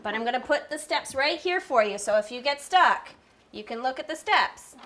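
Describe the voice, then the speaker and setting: A woman with a high-pitched voice speaks as a therapist coaching step by step. She keeps things simple and encouraging, often checking that she has been understood. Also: expressive, normal speed, a bit bright, a little hiss or hum. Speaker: behaviour therapist teaching a child.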